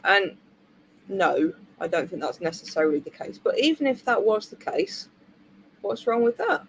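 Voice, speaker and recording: A woman's voice: mid-range voice, big pitch swings, moderate pace, slight background noise, rough recording. A woman hosting a panel over a video call, talking in a forthright, wry way. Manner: forthright, wry, rising emphasis. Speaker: host. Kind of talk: casual panel chat.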